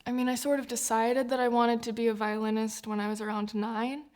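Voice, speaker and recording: A woman's voice: on the high side, little pitch movement, a little fast, darkish tone. A woman in her twenties, a violinist raised in the United States, speaking reflectively in a documentary interview and laughing often. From New Zealand, raised in the United States. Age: 20s.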